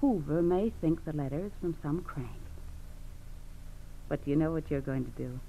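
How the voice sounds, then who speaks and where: An actress playing a domineering, scheming American criminal wife in 1940s radio crime drama, bossy, coaxing and belittling. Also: female voice, low-pitched, normal speed, mellow. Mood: scheming, persuasive. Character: domineering, scheming criminal wife goading her frightened husband. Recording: dull old radio recording with hiss.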